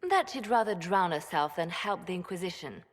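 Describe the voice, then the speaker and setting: A woman's voice: on the low side, lively intonation, fairly quick, big-room sound. A woman playing a wry, unruffled colleague in a video game, with a dry teasing manner. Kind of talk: video game character dialogue.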